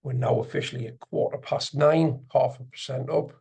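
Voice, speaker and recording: Man, very husky rasp, creaky, casual instructor commentary, muttering at times, screen-recording voice-over. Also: mid-range voice, fairly even intonation, normal speed, dark tone. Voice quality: gravelly, husky. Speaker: trading instructor and video host. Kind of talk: casual live screen-share commentary on chart trading.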